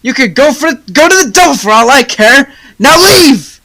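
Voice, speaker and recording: A teenage actress playing a proud, stingy male baker in a high school radio play, with an emphatic, scolding delivery. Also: big pitch swings, moderate pace, bright, forceful tone, full character voice. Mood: angry, stubborn.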